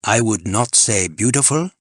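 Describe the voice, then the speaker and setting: A man's voice: lowish voice, lively intonation, moderate pace, breathy edge, no echo. A cool, appraising Frenchman of the mountains played by a male narrator. He speaks formally and exactingly. The audiobook sound is close and dry. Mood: measured, evaluative.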